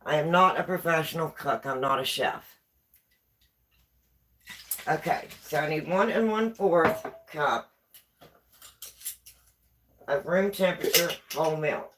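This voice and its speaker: A woman's voice: low voice, a little slow, pauses often, background noise. A woman with a West Virginia accent hosting a casual baking live stream from her home kitchen, chatting and repeating herself as she reads viewer comments. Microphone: camera on a tripod.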